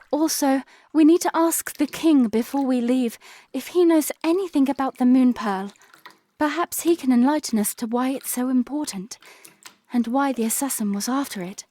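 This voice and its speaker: A woman's voice: sits high, darkish tone. An actress voicing an earnest, worried young princess in an audio drama who teases her knight. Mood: thoughtful.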